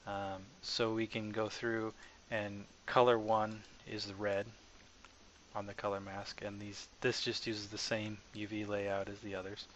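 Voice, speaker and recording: Low, even-voiced man on a dull mic. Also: unhurried. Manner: informal, hesitant, thinking aloud. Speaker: software developer presenting own asset package. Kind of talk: casual screen-capture tutorial narration. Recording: dull lo-fi mic with faint background noise.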